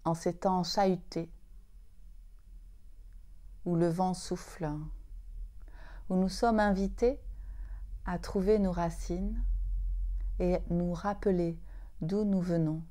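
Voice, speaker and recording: A woman leading a guided yoga nidra meditation, speaking soothingly in short, unhurried phrases with long pauses. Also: lowish voice, fairly even intonation, mellow.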